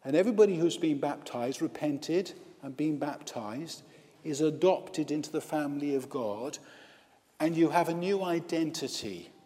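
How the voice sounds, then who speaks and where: A male priest and hospital chaplain preaching a baptism sermon, slow and emphatic, with repeated lists. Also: fairly high pitch, dark tone. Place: church with long reverb. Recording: reverberant church hall.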